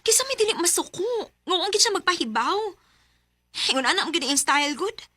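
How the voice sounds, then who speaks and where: A woman's voice: very high voice, animated, a little fast, pushed and bright, dry recording, exaggerated voice. An actress playing a young student, a devoted and needy girlfriend and daughter, in a Bisaya radio drama with a Cebuano Filipino accent. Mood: upset, complaining. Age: young adult.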